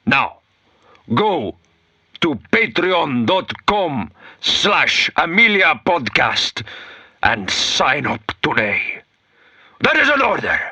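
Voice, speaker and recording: A self-important Latin American strongman president in an audio comedy. His Spanish-accented delivery is imperious and declamatory, sharply forceful, with big swoops in pitch and a measured, weighty pace. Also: sing-song, breathy edge, no echo, studio quality, heavily acted. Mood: pompous, commanding. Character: pompous, commanding Latin American military dictator president. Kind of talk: mock presidential address.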